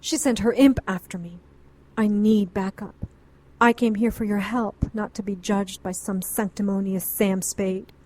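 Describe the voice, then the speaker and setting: A woman's voice: medium pitch, steady tone, measured pace, a little mellow, dry recording, natural voice. Desperate, defiant woman occultist, played by an actress. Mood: defensive, anguished. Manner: earnest, indignant, intense. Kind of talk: audio drama acting.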